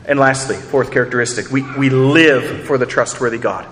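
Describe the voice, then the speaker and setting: A man's voice: medium pitch, a little fast, fairly bright tone, big-room sound, slight background noise. A man preaching a sermon, earnest, asking rhetorical questions and repeating words as he builds each point. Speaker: pastor.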